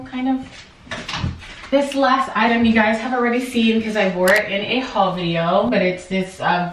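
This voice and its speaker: A woman's voice: medium pitch, a bit bright, far from the mic. Chatty young woman in an echoey bathroom. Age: young adult. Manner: chatty, frequent "like" fillers, candid. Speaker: vlogger. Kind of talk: casual vlog chat and clothing haul. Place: home bathroom with tiled shower. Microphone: camera on a tripod a few feet away. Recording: echoey bathroom, mic set back.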